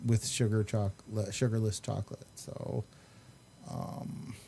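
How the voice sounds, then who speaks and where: A man hosting a podcast in a casual, chatty way, with halting and hesitant speech. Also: fairly low pitch, level tone, creaky, warm tone, a little hiss or hum. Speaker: podcast host. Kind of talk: casual chat.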